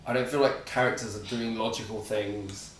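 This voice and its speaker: A man's voice: lowish voice, fairly even intonation, moderate pace, far from the mic, a little hiss or hum. A man chatting casually while reacting to a TV episode, making blunt, critical remarks. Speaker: co-host of a reaction video. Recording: roomy with show music behind.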